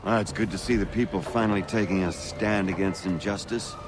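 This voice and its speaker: An actor playing a genial, self-assured colonial patriot in a video game, speaking with polished eloquence. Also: male voice, on the low side, steady tone, fairly quick, lightly acted. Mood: affable. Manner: polished, oratorical, affable.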